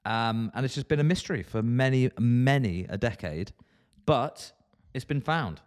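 A man's voice: medium pitch, animated, normal speed, a little mellow. A man co-hosting a podcast, chatting casually in a jokey, rambling way and laughing easily. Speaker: podcast co-host.

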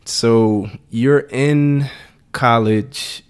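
A man's voice: medium pitch, very slow, a loud crowd. A man co-hosting a podcast, talking in a casual, colloquial way as he interviews a guest. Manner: colloquial, informal. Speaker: podcast co-host.

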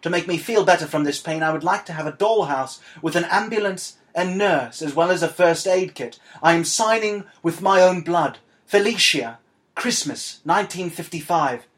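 Man playing a sad little girl, storybook reading, solemn, melodramatic, raised pitch. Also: moderate pace, fairly bright tone, natural voice. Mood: solemn pleading. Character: earnest, sad seven-year-old girl reciting her Christmas letter.

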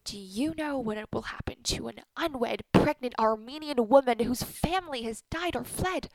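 A woman's voice: fairly high pitch, moderate pace, heavy background noise, lightly acted. A woman playing a blunt, sardonic middle-aged wife with a bitter tongue in a radio play. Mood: scornful.

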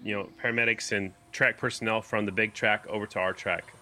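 A man's voice: fairly low pitch, fairly even intonation, fairly quick, bright, forceful tone. A man co-hosting a podcast, explaining race details in casual commentary. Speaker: podcast co-host.

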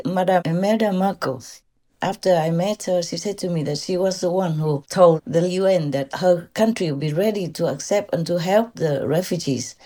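A woman with a Vietnamese accent speaks casually to a group as a spiritual teacher, in an animated storytelling manner. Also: fairly low pitch. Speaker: spiritual teacher answering followers.

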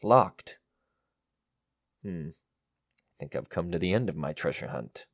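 An actor playing a greedy, short-tempered schemer in a melodramatic radio play, brooding and domineering. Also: male voice, sits low, animated, slow. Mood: agitated, obsessive. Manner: brooding, muttering to himself, domineering. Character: scheming, greedy, short-tempered man hunting a hidden inheritance.

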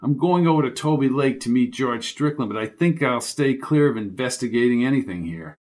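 A man's voice: mid-range voice, lively intonation, brisk, a little mellow, lightly acted. A man voicing an impatient, exasperated adult male newspaperman.

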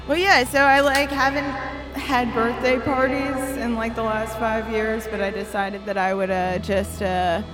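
A woman's voice: a little high, steady tone, unhurried. A woman of thirty chatting casually on a podcast, with lots of fillers and frequent laughter. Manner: laughing often, filler-heavy. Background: music playing.

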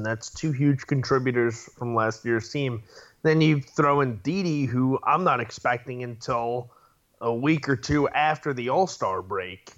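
A man's voice: mid-range voice, fairly bright tone, muffled recording. Emphatic man co-hosting a sports podcast. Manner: emphatic and opinionated. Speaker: podcast co-host. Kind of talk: casual sports podcast chat.

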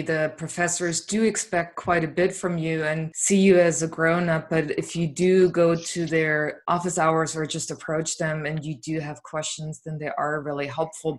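A woman interviewing a guest, asking measured, deliberate questions and adding her own comments. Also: deep voice.

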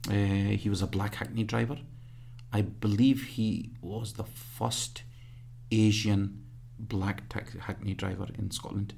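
A man in his fifties from Pakistan, reminiscing in an interview in a halting way full of fillers. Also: on the low side, steady tone, creaky, darkish tone, slight background noise. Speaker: guest.

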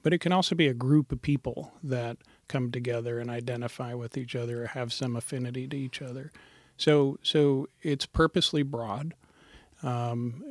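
Gravelly, hesitant middle-aged man. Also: medium pitch, no echo. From Montana. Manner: thoughtful, hesitant, many fillers. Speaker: foundation program director. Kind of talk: interview answers.

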